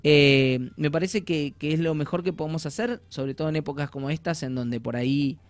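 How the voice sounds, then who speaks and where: A man's voice: fairly high pitch, normal speed. A man with an Argentine accent talking as a coach, explaining things conversationally with informal slang and imagined quotes. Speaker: life coach, guest.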